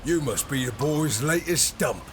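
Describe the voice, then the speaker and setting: An actor playing a bossy, commanding castaway in an audio drama, emphatic in delivery. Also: male voice, on the high side, lively intonation, unhurried, background noise. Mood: dry. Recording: loud music behind the voice.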